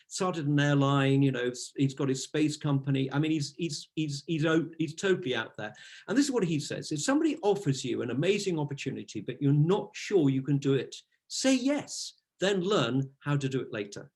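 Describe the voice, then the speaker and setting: A man's voice: on the high side, vocal fry. A man giving a business presentation on a call, enthusiastic and repeating words for emphasis.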